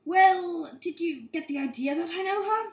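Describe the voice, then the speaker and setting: A woman's voice: extremely high pitch, animated, normal speed. A woman playing a blunt, defensive young well-to-do man in an amateur radio play. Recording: echoey room, amateur recording.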